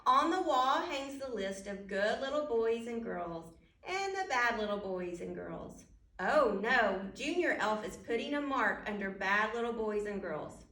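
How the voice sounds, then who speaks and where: A woman's voice: a little high, expressive, a little slow, fairly bright tone. A woman reading a children's storybook aloud at storytime, speaking directly to young listeners and putting questions to them. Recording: roomy echo, distant microphone.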